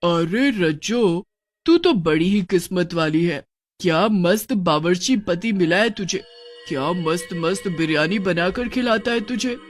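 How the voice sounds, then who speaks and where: A woman voicing a gluttonous, gleeful and bossy witch ghost in a cartoon, gloating with drawn-out exclamations. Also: on the low side, expressive, soft background music. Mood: gloating, menacing.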